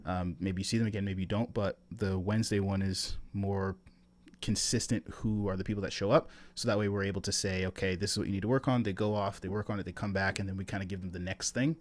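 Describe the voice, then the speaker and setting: Low-voiced man coaching on a group call. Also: steady tone, fast. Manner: loose, rambling, lots of ums and rhetorical "right?". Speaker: sales coach hosting a support call. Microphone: video call.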